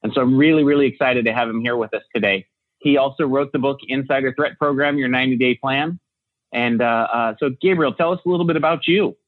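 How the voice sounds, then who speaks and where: A man's voice: medium pitch, steady tone, fast, no room sound. A man speaking conversationally as a co-host, introducing a guest over a call. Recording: dry, phone-like narrow call audio.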